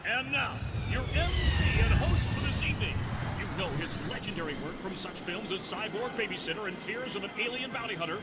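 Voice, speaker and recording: An actor playing a bombastic arena announcer in a video game, a showman introducing with theatrical, drawn-out emphasis. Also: high voice, very animated, recorded from a distance, very noisy. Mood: grandiose. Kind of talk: grand event announcing. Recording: echoing arena PA, loud music.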